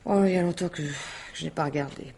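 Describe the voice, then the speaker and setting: An actress playing a divorced woman, gracious and evasive, in an expressive radio drama style. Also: deep voice, rapid-fire, vocal fry, slight background noise. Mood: reflective, evasive. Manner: expressive exclamations, coy deflection. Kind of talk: 1950s French radio drama acting.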